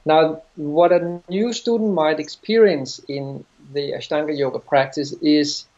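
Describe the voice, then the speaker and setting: Middle-aged danish guy explaining yoga, slow careful restarts, kinda dull lo-fi sound. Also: a little high, fairly even intonation, a little mellow. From Denmark. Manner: thoughtful, halting, restarts phrases. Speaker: yoga teacher. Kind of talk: interview answers.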